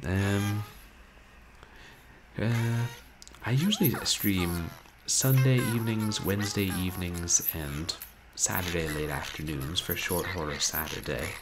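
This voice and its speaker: A man in his thirties, a game streamer chatting casually at home in a wry, rambling, animated way. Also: sits low, takes it slowly, background noise. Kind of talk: casual livestream chat. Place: small room at home, indoors.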